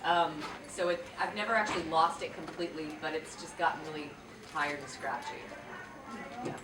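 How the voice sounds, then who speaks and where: A woman's voice: sits low, fairly quick. A woman voice actress talking casually on a convention panel, chatty and full of restarts. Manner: chatty, with frequent restarts and fillers. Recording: echoey hall, distant mic, noisy.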